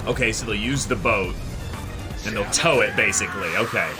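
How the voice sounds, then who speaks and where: A man hosting a casual reaction show, commenting live in an exclamatory, excitable way and swearing freely. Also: on the low side, fairly quick. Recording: loud show soundtrack behind voice. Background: music from the watched show.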